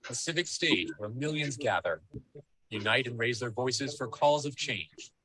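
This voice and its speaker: A man reading scripted documentary narration in a solemn, measured and formal way. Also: medium pitch, animated, crowd noise, poor audio.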